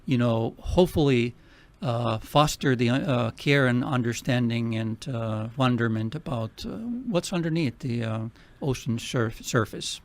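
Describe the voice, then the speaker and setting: Earnest Finnish-accented man, halting speech. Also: medium pitch. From Finland. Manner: halting, many fillers, earnest. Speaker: nonprofit president, radio guest. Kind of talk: radio interview.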